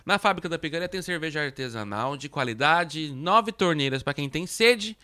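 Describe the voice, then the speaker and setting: Animated informal man, slightly high voice. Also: moderate pace. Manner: animated, informal, repeats "tipo". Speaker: podcast co-host. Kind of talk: casual podcast conversation.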